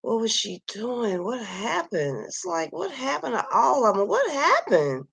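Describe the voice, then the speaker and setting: A woman who is losing her voice reads names from a missing persons list on a screen share and thinks aloud in a soft, slow, sighing way. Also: fairly high pitch, wildly swooping pitch, dry recording. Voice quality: gravelly, slightly husky voice. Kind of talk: casual screen-share commentary. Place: at home near Kansas City.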